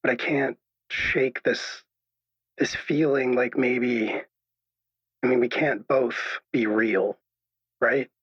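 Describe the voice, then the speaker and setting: A man playing an anxious, troubled caller to a radio show, speaking in halting, apologetic stammers. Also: medium pitch, fairly even intonation, slightly rough. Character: anxious, troubled male caller unsure of his own reality. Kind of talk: improvised radio drama. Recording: dull lo-fi echoey call sound.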